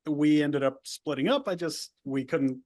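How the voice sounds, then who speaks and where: Man, native Spanish speaker, slightly high-pitched, casual rambling storytelling, frequent restarts. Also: normal speed, a little mellow. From United States, El Paso border area. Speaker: guest. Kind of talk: casual interview conversation.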